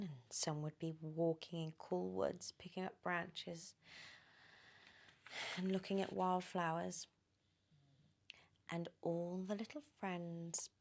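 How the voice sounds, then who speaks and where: A woman with a British accent reading a children's story in ASMR style, animated and often slipping into whispers. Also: on the low side, gravelly.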